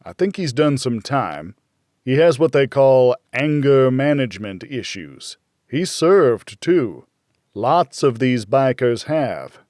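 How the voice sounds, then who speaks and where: A man's voice: mid-range voice, big pitch swings, slow, darkish tone, no echo, studio quality. A man voicing a blunt, needling, worried older friend in a noir audiobook. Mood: informative.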